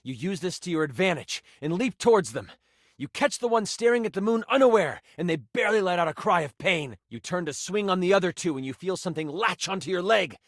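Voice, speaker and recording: A high-voiced, theatrical game master narrates fantasy combat for a video game. His delivery is animated and dramatic, savoring key words, recorded dry and close. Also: fairly quick, no room sound. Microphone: close studio mic.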